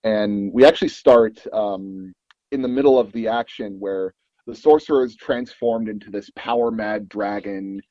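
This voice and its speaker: A man with a low, mellow and husky voice talks as an interview guest. He tells stories casually, with hesitant pauses along the way. The recording is dull and muffled. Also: no echo. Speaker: interview guest, audio drama producer.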